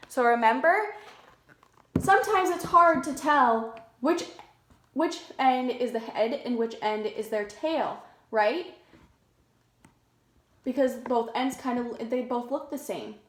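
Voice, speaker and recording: A woman with a high voice speaks to preschoolers in a simple, questioning way, as a teacher does during story time. Her pace is unhurried and the room sounds echoey. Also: fairly bright tone, slight background noise. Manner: simple, questioning, child-directed. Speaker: preschool teacher reading aloud. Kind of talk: children's read-aloud with questions to listeners.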